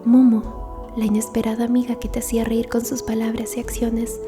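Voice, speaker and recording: A woman narrating a scripted ASMR story in a soft, hushed, breathy whisper. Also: on the high side, monotone, moderate pace, over music.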